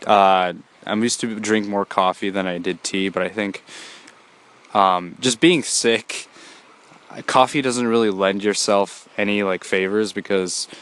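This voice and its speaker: A man's voice: lowish voice, steady tone, a little slow, a bit bright, clear, resonant tone. A young man hosting a solo podcast, talking in a rambling casual way with many fillers and restarts, a bit congested from a cold. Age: young adult. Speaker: podcast host.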